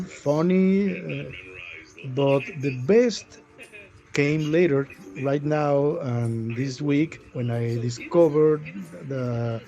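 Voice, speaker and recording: Slow, halting man, muffled audio. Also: medium pitch, warm tone. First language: Spanish. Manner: halting, searching for English words. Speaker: voice actor being interviewed. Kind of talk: casual interview chat.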